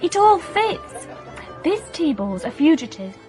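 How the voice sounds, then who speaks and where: Cheeky, high-pitched young sleuth's assistant. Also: female voice, measured pace, faint music behind. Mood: excited. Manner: teasing, excitable. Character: cheeky, eager young female detective's assistant. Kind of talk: radio comedy drama.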